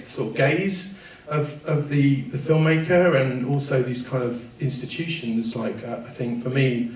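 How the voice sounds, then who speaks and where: A man's voice: medium pitch, darkish tone. A man as panel speaker, tentative and hedging his words with kind of and sort of. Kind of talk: spoken presentation at a panel. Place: event room at a festival panel. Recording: echoey room, distant mic.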